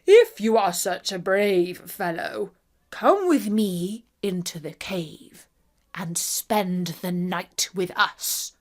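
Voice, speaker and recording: A woman voicing a big, slow, boastful male giant in a storybook, loud and challenging. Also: medium pitch, lively intonation.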